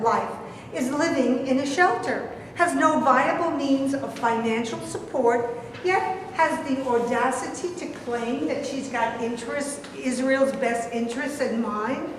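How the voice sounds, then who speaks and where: A woman reading the part of a stern female religious advisor who lectures formally in a staged reading. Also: high voice. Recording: echoey hall from far off, faint noise.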